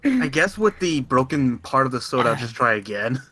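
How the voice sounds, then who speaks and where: A man's voice: mid-range voice, sing-song, moderate pace, pushed and bright, low-quality recording. A man chatting casually as a player in a tabletop role-playing game.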